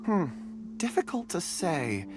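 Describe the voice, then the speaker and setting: A gentle, contemplative miner in a video game. His delivery is expressive and varied in pitch, formal and poetic in a musing way. Loud music plays behind him. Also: takes it slowly, exaggerated voice. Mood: thoughtful. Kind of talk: video game character acting.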